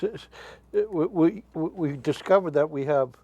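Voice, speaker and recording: A man hosting a local interview show, asking questions in a halting way, stumbling over names and using many fillers. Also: a little high, mellow, husky, dry recording.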